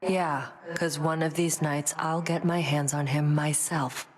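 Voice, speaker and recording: A brooding woman warrior for a video game, bitter and bent on revenge. She speaks curtly in a low, sullen voice. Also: fairly even intonation, measured pace. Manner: curt, sullen.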